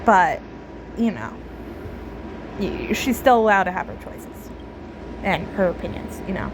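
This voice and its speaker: A woman giving casual, reflective game commentary, thinking aloud haltingly and often repeating words. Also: mid-range voice, very animated, noisy background. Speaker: game streamer. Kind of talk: casual commentary while playing a story game.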